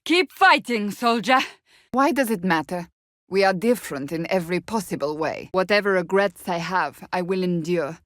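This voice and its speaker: A stern, devout holy warrior from a fantasy video game. Her voice is on the low side and recorded dry and close. She speaks formally and bluntly, with earnest authority. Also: animated, normal speed, fairly bright tone, no echo. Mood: urgent, commanding, then grave, resolute.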